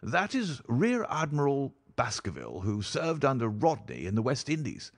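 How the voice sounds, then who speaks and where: A man's voice: medium pitch, wildly swooping pitch, moderate pace, breathy edge, no echo, pitched above the performer's own voice. A man voicing a genial, courteous baronet in an audiobook, hearty, frank and good-humoured. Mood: eager, genial. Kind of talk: audiobook character voice.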